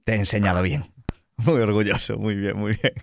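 A man's voice: lowish voice, fairly quick, vocal fry, no echo, clean studio sound. A man with a Spanish accent from Spain, casually hosting a livestreamed tabletop roleplay show as game master, with teasing, mock-boastful banter. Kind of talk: casual joking introduction of players on a livestream.

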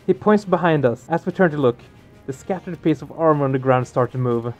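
Let's play host, male: slightly high, reactive and expressive, reading story text over light music. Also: fairly quick.

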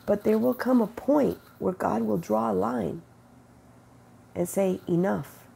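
A middle-aged woman with a cold, sniffling and rough-voiced, giving an earnest, unhurried spiritual testimony into an earbud mic in her bedroom. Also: mid-range voice, dark tone, a little hiss or hum. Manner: earnest, unhurried, quotes God in conversation. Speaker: faith vlogger. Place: bedroom with bright window, indoors. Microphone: wired earbud mic, webcam close-up.

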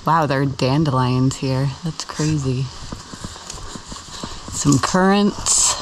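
American woman, low pitch, slow pace, casual chat, self-deprecating, outdoor vlog. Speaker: sailing vlogger. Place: outdoors on open water and shoreline. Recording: outdoor camera audio with clear background noise.

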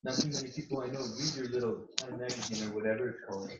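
A man's voice: medium pitch, steady tone, racing, crowd noise, close to an everyday voice. A man playing an offended, dim male model in a comedy table read. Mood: indignant. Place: indoors at home on a video call. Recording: roomy, dull video call, others audible.

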